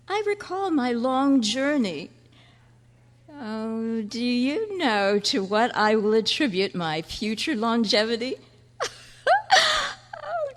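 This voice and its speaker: Woman playing slow, theatrical seductress, echoing hall. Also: sits high, fairly bright tone, exaggerated voice. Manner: grand, flirtatious, drawn-out delivery. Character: seductive, theatrical, worldly woman writer, passionate and teasing. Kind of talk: live dramatic stage reading. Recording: reverberant meeting hall.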